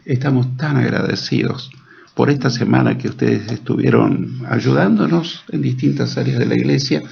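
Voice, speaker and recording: A man hosting a church program, interviewing guests in a slow, deliberate manner with a gravelly, husky voice. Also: mid-range voice, darkish tone. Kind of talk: friendly church radio interview. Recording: dull lo-fi sound.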